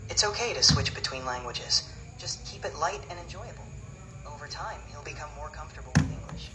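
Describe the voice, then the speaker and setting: A calm, even-toned synthetic assistant voice that gives advice in smooth, scripted replies. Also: medium pitch, fairly quick, recorded from a distance, over loud music, low-quality recording. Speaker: AI chat assistant.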